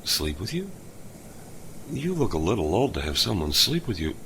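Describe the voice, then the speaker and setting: A lonely old dad, super low gravelly voice, kinda halting and soft. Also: male voice, sing-song, slight background noise, full character voice. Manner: gentle, halting, hesitant. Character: older lonely widowed father, kind host. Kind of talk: audio drama acting.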